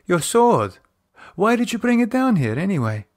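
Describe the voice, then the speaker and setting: A man voicing a calm, solicitous foreign woman in an audiobook horror drama, gently commanding, with precise formal phrasing. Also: high voice, normal speed, no echo, clean studio sound, voice pushed up. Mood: brisk, curious.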